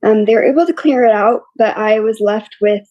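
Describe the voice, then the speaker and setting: A young woman with a scratchy, quiet voice, openly telling her story as an interview guest in a plain, matter-of-fact way. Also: fairly high pitch, a little fast, dry recording, highs cut off. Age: young adult. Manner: open and matter-of-fact, listing hardships plainly. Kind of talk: candid personal storytelling.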